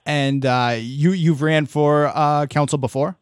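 A man's voice: a little high, fairly even intonation, unhurried, clean tone, dry recording, clean studio sound. A man hosting a radio interview, asking questions with hesitant uh fillers and repeated words.

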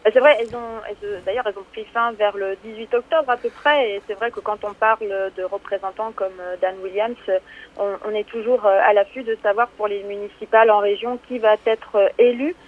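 Brisk woman radio host, phone-thin sound. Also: medium pitch, steady tone, phone-quality sound. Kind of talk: radio chat.

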